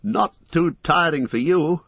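A man putting on a playful elf minstrel from a storybook, teasing and light. The voice is pitched higher than the narration and a little husky. The recording sounds dull and dry. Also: measured pace, dry recording, full character voice. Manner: teasing, laughing banter.

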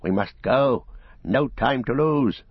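Sneaky whispery hissing creature, way up high, begging over and over, guy doing the voice, husky, kinda lo-fi. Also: slow, no room sound. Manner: urgent, repetitive pleading. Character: sly, twitchy hissing creature, urgent and fawning. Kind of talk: audiobook character voice. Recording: dull, dry lo-fi recording.

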